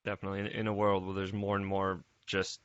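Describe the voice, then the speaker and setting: A man's voice: deep voice, monotone, fast, dry recording. A man chatting informally on a group call, repeating words for emphasis. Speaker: podcast group member. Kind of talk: casual chat on a group call. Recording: muffled online call with music underneath. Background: music.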